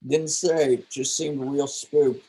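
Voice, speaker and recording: A man's voice: medium pitch, flat delivery, slow, mellow, breathy edge, rough recording. A man playing a laid-back, slangy young redneck drug dealer in a live table read, blunt and unimpressed. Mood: matter-of-fact.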